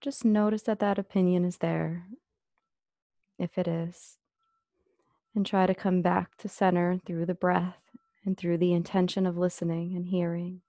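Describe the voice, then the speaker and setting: Low, mellow woman guiding meditation, slightly creaky. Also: flat delivery, no echo, clean studio sound. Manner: gentle, unhurried, with long pauses. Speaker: meditation instructor. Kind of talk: guided meditation, soothing instruction.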